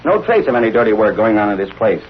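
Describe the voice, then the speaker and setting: An actor with an American accent playing a wisecracking, eager young reporter in a 1930s radio drama. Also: male voice, on the low side, sing-song, fast talker. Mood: frustrated. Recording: muffled, noisy vintage radio recording.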